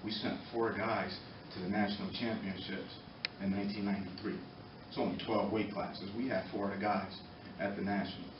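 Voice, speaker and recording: Low, even-voiced American man in echoey room. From Norwalk, Connecticut, United States. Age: middle-aged. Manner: earnest, halting, pausing between short phrases. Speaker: former boxer speaking in support of a youth program. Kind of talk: impromptu public testimony at a committee meeting. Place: indoor meeting room, far from the mic. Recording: echoey, muffled, distant, noisy room recording.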